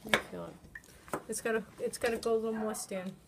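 New england lady showing how she mixes bread dough by hand, chatty and coaxing, kinda high voice, noisy home video. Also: level tone, a little fast. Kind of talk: casual kitchen demonstration. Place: home kitchen with children around. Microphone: phone camera held by another person.

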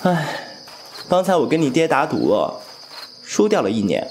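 A man's voice: a little high, big pitch swings, normal speed, darkish tone, slight background noise. A man playing a cheeky, candid young swordsman in a Chinese audio drama, with a playful, casual manner. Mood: playful, teasing. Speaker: voice actor.

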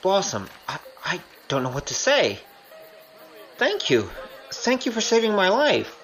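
Woman playing a cheeky young man, wildly animated. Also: mid-range voice, measured pace, soft background music, low-quality recording. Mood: grateful. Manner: wry, flippant. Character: wry, cheeky young male rogue in trouble. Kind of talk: audio drama acting.

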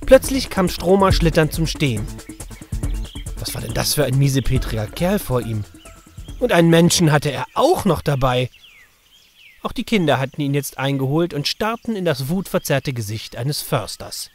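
A man narrates a children's adventure audio drama. His voice sits a little high, and he reads in a scripted storytelling style. Music plays behind him. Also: big pitch swings, moderate pace, darkish tone.

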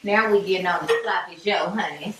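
Young adult woman, American, casual cooking host, sassy and folksy, distant echoey sound with clear background noise. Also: fairly low pitch, brisk. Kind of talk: casual home cooking chat. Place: home kitchen, indoors. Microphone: far camera microphone. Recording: roomy, distant, noisy kitchen recording.

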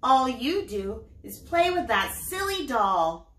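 A woman's voice: high-pitched, sing-song, takes it slowly, fairly bright tone, reverberant, heavily acted. A woman voicing Pearl, a bossy, impatient young girl pig, in a whiny, exasperated voice for a children's storybook. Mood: wistful.

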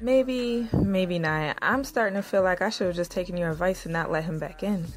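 A woman's voice: fairly low pitch, moderate pace, natural voice. A woman playing a conflicted, defensive young married woman who also narrates her story in an audio drama. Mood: apologetic. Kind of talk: audio drama acting with first-person narration.

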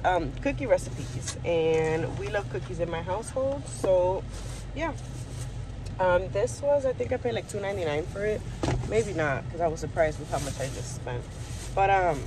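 A young adult woman with an American accent, talking casually to camera as a vlogger on a handheld phone in a car. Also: fairly low pitch. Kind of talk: casual chatty vlog talk to camera. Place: inside a parked car. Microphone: handheld phone at arm's length. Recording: phone in a car, faint background noise.

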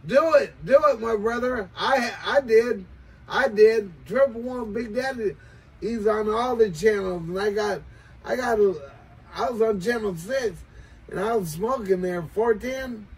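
An older American man calling out on CB radio in showy, slangy banter, repeating catchphrases, with a gravelly voice. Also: sits high, measured pace, background noise. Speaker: CB radio operator. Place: small cluttered indoor radio room or shed. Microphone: desk CB microphone in hand, filmed from across room.

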